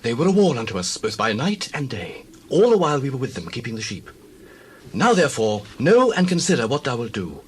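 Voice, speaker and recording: A man plays a young shepherd servant in a biblical audio drama. The delivery is rapid and swooping, earnest and urgent with worry. Faint ambience sits underneath. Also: mid-range voice, very animated, slight background noise, close to an everyday voice. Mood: urgent warning. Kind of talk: dramatized scripture reading.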